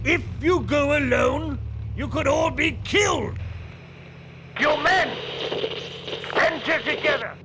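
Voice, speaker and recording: Slow, really high voiced actor as a british general, insistent, radio drama, noisy background. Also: male voice. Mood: urgent, exasperated. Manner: deliberate, insistent, military radio procedure. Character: cautious British army general, male, urgent and commanding. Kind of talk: radio play acting. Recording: noisy field-radio call with engine noise. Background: vehicle noise.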